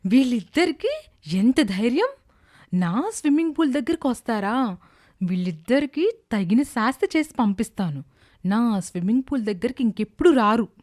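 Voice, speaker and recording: Woman playing a haughty, high-pitched rich village woman. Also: big pitch swings, moderate pace, a little mellow. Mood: scheming. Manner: imperious, scolding. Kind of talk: children's animated moral tale dubbing.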